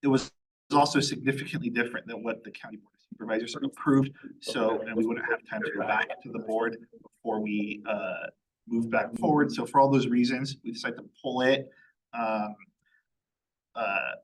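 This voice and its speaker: A man's voice: medium pitch, steady tone, moderate pace, slight background noise, rough recording. A man, a chief of staff, giving a matter-of-fact spoken update at a public meeting, with frequent ums and uhs.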